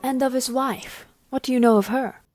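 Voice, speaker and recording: A woman playing a devoted, gently teasing young noblewoman, formal and romantic, in an amateur period audio drama. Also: sits high, fairly even intonation, normal speed, faint music behind, close to an everyday voice. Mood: curious.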